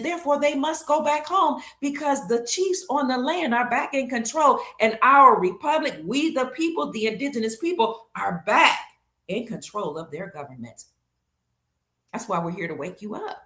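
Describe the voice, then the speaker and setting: A woman's voice: high voice, expressive, fairly bright tone. A woman hosting her own show, delivering an emphatic monologue to viewers, leaning on key words and stacking them in lists. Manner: leans hard on key words, slows for stress. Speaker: show host. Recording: roomy echo.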